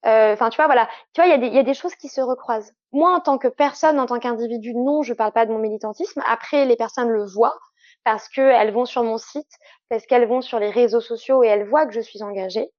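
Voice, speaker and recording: Higher-voiced woman, muffled dry sound. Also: quick pace, a little mellow. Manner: earnest, explanatory, frequent fillers. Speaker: sexologist guest. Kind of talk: casual interview conversation.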